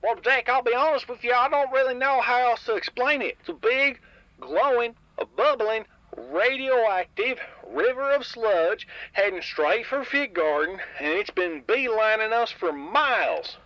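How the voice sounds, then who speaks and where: A man voicing an excitable, folksy mutant tinkerer who rambles carefree about danger on a comedic radio drama call-in. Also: very high-pitched, unhurried, dry recording, heavily acted. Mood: breezy, matter-of-fact. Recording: dull lo-fi radio call, faint music.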